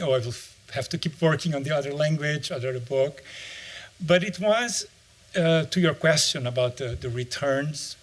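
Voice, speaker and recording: Reflective middle-aged man. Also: on the high side. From Brazil. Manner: reflective, searching for words. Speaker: author and anthropologist. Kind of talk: interview answer.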